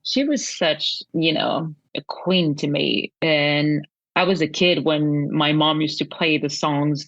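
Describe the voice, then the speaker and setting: A woman speaks low, chatting as a guest musician. She talks haltingly, often searching for the right word. The sound is dry and a bit dull. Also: no echo. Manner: halting, searching for words, laughs easily. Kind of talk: casual interview chat.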